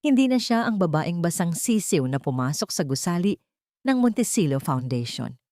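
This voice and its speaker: A woman's voice: lowish voice, sing-song, normal speed, dark tone, no room sound, studio quality. A woman reading a scripted love story as a dramatic narrator.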